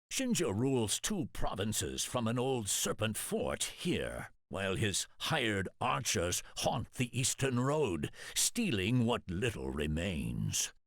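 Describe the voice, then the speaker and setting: Husky, slow, loyal samurai retainer. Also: male voice, fairly low pitch, fairly bright tone, dry recording, clean studio sound, full character voice. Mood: urgent counsel. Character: Otomo, loyal aging samurai retainer, grave and devoted. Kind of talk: dramatic video game cutscene acting.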